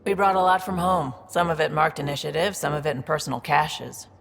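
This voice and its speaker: Shrewd female space smuggler, game dialogue: deep for a woman, fry-edged, wry, sure of herself, faint noise behind. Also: fairly even intonation, a little fast. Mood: sly, confident. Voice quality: gravelly, low. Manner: wry, sly, self-assured. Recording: reverberant room with faint background noise.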